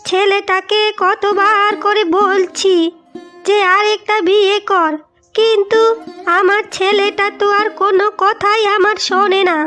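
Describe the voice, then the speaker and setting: Cartoon mother-in-law, older village nag: extremely high voice, bitter and complaining, music underneath. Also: level tone, unhurried, pushed and bright, heavily acted. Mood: angry scolding. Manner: nagging, insulting, complaining. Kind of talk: Bengali cartoon moral story acting. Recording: music behind the voice.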